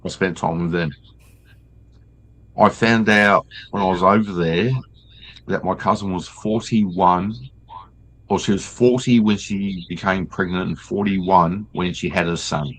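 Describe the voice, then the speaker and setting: Older man, deep voice. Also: fairly even intonation, a little slow, a little hiss or hum, poor audio. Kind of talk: casual chat.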